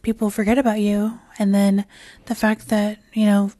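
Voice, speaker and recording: Hoarse, raspy woman podcast host. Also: mid-range voice, flat delivery, normal speed, dark tone, no echo. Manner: rambling and candid, with frequent fillers and restarts; swears casually. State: hoarse, losing her voice. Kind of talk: casual solo podcast chat.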